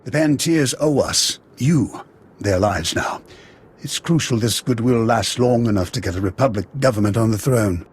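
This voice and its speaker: An actor playing a pragmatic, principled military officer in a video game, measured and earnest. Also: male voice, mid-range voice, husky, natural voice. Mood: earnest, businesslike.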